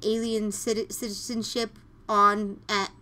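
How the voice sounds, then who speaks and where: A woman's voice: mid-range voice, level tone, a little slow, sharp tone. A woman hosting a podcast, chatting informally and jokily as she reviews television. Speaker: podcast host. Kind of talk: casual TV review discussion.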